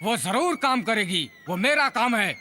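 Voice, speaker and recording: A man's voice: high-pitched, big pitch swings, measured pace, sharp tone, a little hiss or hum, heavily acted. A man voicing an irritable, bossy inventor in a cartoon dub, snappish and domineering. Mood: agitated. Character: irritable, bossy male cartoon inventor guarding his laboratory. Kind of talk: Hindi cartoon dub acting.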